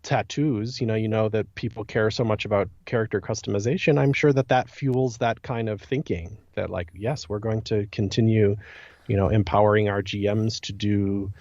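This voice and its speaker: Man, slightly deep, conversational gaming podcast co-host who repeats himself for emphasis; dry, somewhat dull sound. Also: steady tone, moderate pace, darkish tone, dry recording. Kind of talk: casual chat about an online game.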